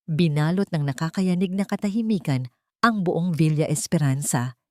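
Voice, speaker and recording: A woman's voice: sits low, normal speed, dry recording, studio quality. A woman narrating a scripted story in a solemn, melodramatic manner.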